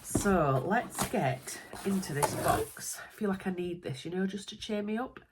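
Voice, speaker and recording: A woman's voice: mid-range voice, lively intonation, unhurried, very noisy. A young woman with a Yorkshire accent and a stuffy, congested voice from a cold, chatting in a bubbly way as she unboxes a sewing parcel at home. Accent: Yorkshire English. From Yorkshire, England. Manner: bubbly, chatty, lots of little asides. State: congested with a cold, nasal and bunged up. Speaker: sewing vlogger.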